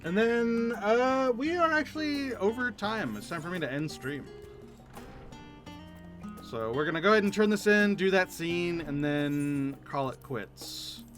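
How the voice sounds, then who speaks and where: A man with a high, bright voice chats casually as he streams a game. His pitch moves around a great deal as he talks, and music plays behind him. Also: animated, measured pace. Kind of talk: casual livestream chat while playing.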